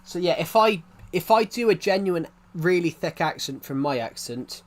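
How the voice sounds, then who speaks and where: Young uk guy with a thick regional accent, streaming, chatty and rambly, keeps restarting, voice on the higher side. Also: animated, normal speed. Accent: thick regional British. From United Kingdom, outside London. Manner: rambling, repeats himself, many restarts. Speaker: game streamer. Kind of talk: casual live-stream chat.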